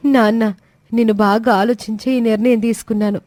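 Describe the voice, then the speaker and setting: A woman's voice: on the high side, steady tone, moderate pace, darkish tone, no echo, natural voice. A woman voicing a hurt, stubborn young wife in a family story, indignant and questioning. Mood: hurt, defiant.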